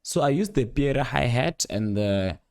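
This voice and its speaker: A young man giving a casual, unscripted music production tutorial, rambling playfully in slangy speech with excited interjections. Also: medium pitch, a little slow, no echo. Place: indoor home studio at a computer. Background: loud instrumental beat and instrument sounds playing.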